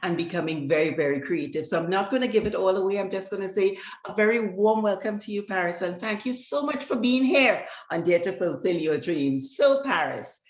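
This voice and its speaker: A woman's voice: medium pitch, animated, a little fast, few pauses. A woman hosting an interview show, introducing and questioning a guest in a conversational style. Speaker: host of an interview channel. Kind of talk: warm-up introduction and interview chat. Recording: echoey room, dull audio.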